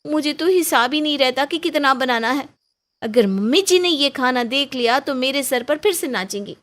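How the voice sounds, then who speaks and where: A woman puts on a young daughter-in-law from a cartoon family drama. Her voice sits high and sounds sugary and fussy, as she sweet-talks and defends herself with animated delivery. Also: moderate pace. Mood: flustered. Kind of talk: dramatized cartoon storybook dialogue.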